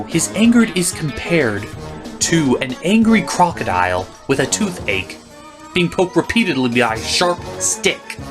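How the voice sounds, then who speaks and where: A man hosting a scripted comedic review, ranting in a theatrical, emphatic manner. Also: fairly high pitch. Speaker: host and reviewer.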